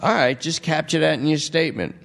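A man's voice: a little high, brisk, gravelly, fairly bright tone, voice pushed up. A man voicing a blunt male police detective who coaxes and bargains in a dry, persuasive way. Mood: coaxing.

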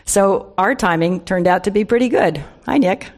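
A woman's voice: medium pitch, normal speed, a little mellow. An American woman in her seventies giving an informal, humorous talk to a live audience, with conversational storytelling, wry asides and emphasis on key words. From San Francisco Bay Area, USA. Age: 70s. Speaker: guest speaker, wine industry veteran.

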